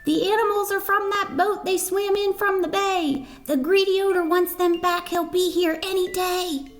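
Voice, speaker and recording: A little storybook messenger voiced by a woman delivers news urgently. The voice is lifted high, with music underneath. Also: steady tone, moderate pace.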